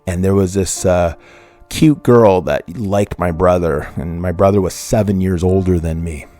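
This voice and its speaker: Casting note: man in his fifties, very low voice, podcast host, loose and rambling as he tells a personal story, faint music underneath. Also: measured pace, warm tone. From Canada. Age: 50s. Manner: rambling, with restarts and self-corrections. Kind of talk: personal true crime storytelling.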